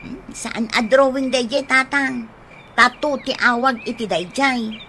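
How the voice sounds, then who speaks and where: Stubborn young daughter, high voice, Ilocano accent, skeptical, radio comedy drama, noisy background. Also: female voice, steady tone, a little slow, pushed and bright.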